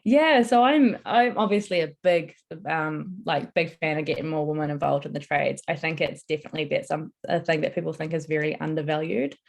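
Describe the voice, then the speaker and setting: A woman's voice: low voice, brisk, gravelly, few pauses. A young woman answering interview questions in a casual, earnest and enthusiastic way. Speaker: interviewee, construction apprentice.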